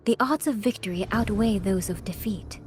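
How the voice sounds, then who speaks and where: Casting: woman as unyielding game strategist, mid-pitched, formal and measured, faint music behind. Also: a little mellow, lightly acted. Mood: blunt, unyielding. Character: coolly rational strategist who weighs lives by odds. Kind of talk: dramatic video game acting.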